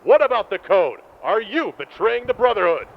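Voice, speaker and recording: A man playing a proud, zealous, commanding armored soldier in an audio drama, gravelly, with slightly dull sound. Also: sits high, sing-song, moderate pace, slight background noise, full character voice. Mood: defiant anger. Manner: forceful, commanding, militant. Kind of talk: post-apocalyptic audio drama acting.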